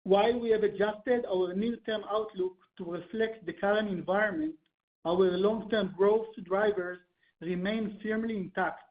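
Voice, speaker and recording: A company finance executive delivers scripted quarterly results at a medium pitch. The sound is a dull, lo-fi conference call connection. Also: moderate pace.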